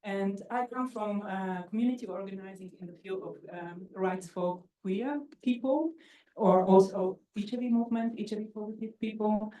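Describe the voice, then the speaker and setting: A woman from Bulgaria, a researcher speaking on a panel, soft-spoken and hesitant. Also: mid-range voice. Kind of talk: panel discussion. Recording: distant echoey mic.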